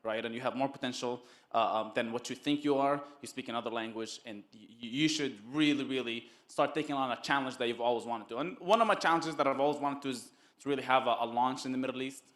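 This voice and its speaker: A man giving a casual testimonial as a guest, halting and often repeating his words. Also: medium pitch, a bit bright. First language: Arabic. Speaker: summit guest, network marketer.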